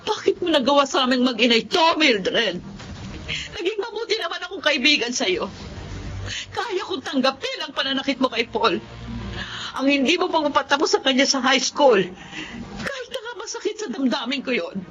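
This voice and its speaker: A woman's voice: high voice, sing-song, normal speed, a bit bright. An actress with a Filipino accent playing a wronged, indignant, devout mother in a melodramatic radio drama. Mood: hurt fury. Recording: dull lo-fi audio, music behind.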